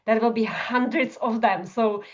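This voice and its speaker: A woman's voice: a little high, fairly even intonation, a little slow, highs cut off. A woman guest on a podcast, chatting casually and laughing often. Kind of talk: casual conversational interview.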